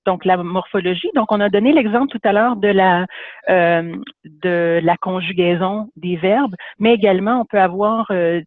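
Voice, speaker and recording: A man's voice: high voice, no echo. A man with a Canadian French accent giving a webinar lecture, hesitant, with many fillers and restarts. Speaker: webinar presenter. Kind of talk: lecture for an online audience. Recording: phone-like dry webinar audio.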